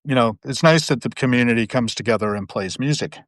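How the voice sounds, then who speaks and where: A man's voice: mid-range voice, fairly quick, no echo, clean studio sound. A middle-aged man hosting a casual live video chat, talking in long, rambling, digressive stretches. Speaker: host. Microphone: video call.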